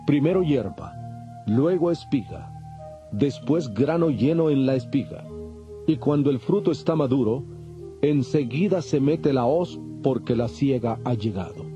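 Casting: male actor as a solemn parable-telling teacher, very mellow tone, calm authority, audio Bible drama with soft music. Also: mid-range voice, natural voice. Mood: solemn teaching. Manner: measured, solemn teaching delivery. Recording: dull, band-limited audio with music.

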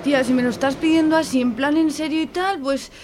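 A woman's voice: sits high, steady tone, a little fast, darkish tone, slight background noise, close to an everyday voice. A woman playing a wry, easygoing young friend in a radio serial, with an accent from Spain. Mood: resigned, wry. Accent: Spanish from Spain. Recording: crowd chatter behind.

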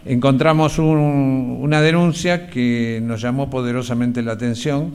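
A man's voice: mid-range voice, steady tone, unhurried, darkish tone, smooth. A man with an Argentine accent recalling events in an interview, repeating words as he searches for phrasing. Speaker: interviewee, relative of a victim. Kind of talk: spoken recollection.

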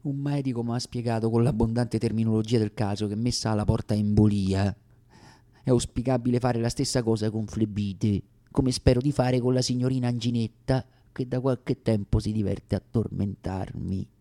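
A man performing a comic radio theatre monologue as an actor, with deliberate, wry, dramatic phrasing and pauses. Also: mid-range voice, fairly even intonation, dark tone.

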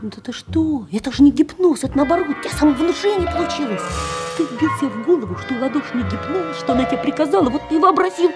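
A woman playing an excitable, sceptical young schoolboy sidekick in a Soviet children's radio play, exclaiming in disbelief. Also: high-pitched, quick pace, over music. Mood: incredulous. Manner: exclamatory, incredulous.

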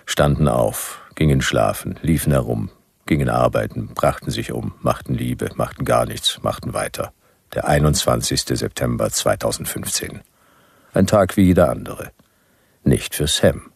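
An actor playing a grumpy, world-weary private detective, narrating a radio play in deadpan, laconic, sardonic phrases. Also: male voice, extremely low pitch, normal speed, vocal fry, slightly rough, no room sound, heavily acted.

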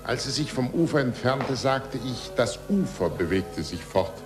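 An actor playing a proud, earnest, stubborn scientist who believes in reason, in a 1940s German radio play. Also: male voice, medium pitch, expressive, unhurried, over music, close to an everyday voice. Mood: earnest, firm.

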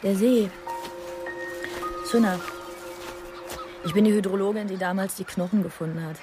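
A woman's voice: mid-range voice, normal speed, close to an everyday voice. A woman acting in a radio drama as a polite, curious hydrologist with a tentative manner. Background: music.

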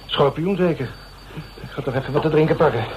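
A man's voice: on the high side, fairly quick, lightly acted. An actor with a Netherlands Dutch accent playing a suspicious, touchy man in a radio drama, hesitant, colloquial and blustering. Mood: mocking, surprised. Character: suspicious, touchy man receiving late-night visitors. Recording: muffled, noisy radio recording.

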